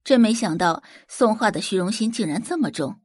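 Female audiobook narrator: expressive dramatic storytelling, lively pitch movement, slightly husky tone, dry close recording. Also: a little fast, no room sound.

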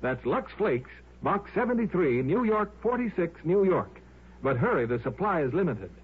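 Husky 1950s radio announcer man. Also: fairly high pitch, big pitch swings, normal speed. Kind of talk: 1950s scripted radio advertising. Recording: narrow old radio broadcast.

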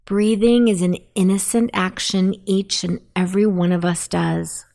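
A woman narrating a guided sleep meditation in a soothing, deliberate manner. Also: medium pitch, steady tone, vocal fry, a little mellow.

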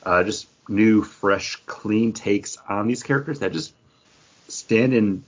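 A man co-hosting a podcast, chatting casually and enthusiastically with a slightly husky voice. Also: lowish voice, takes it slowly, dull audio. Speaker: comics podcast co-host. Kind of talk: casual panel chat.

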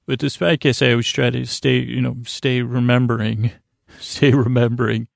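A man with a creaky, gravelly voice hosting a bedtime podcast in a rambling, halting monologue, often interrupting and restarting himself. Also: mid-range voice, flat delivery, no echo, clean studio sound. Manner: halting, meandering, self-interrupting with many restarts. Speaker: podcast host. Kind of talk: rambling bedtime monologue to keep listeners company.

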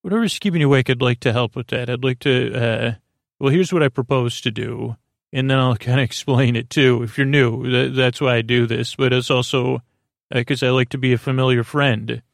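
Creaky-voiced, meandering man hosting a sleep podcast. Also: medium pitch, fairly even intonation, no room sound, clean studio sound. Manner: meandering, halting, stumbling over words and drifting into tangents; lulling, gentle. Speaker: podcast host. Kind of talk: rambling, soothing sleep-podcast monologue.

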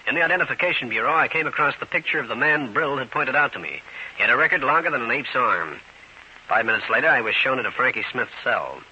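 An actor playing a wisecracking New York private detective in an old radio drama, terse, slangy and wry. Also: male voice, mid-range voice, lively intonation, fast talker, sharp tone. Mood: wry narration. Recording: dull, hissy old radio recording.

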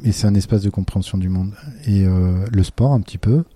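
Low, mellow French teacher, hesitant. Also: male voice, fairly quick, no room sound. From France. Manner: thoughtful, hesitant, many fillers and restarts. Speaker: teacher, podcast guest. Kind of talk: casual interview talk.

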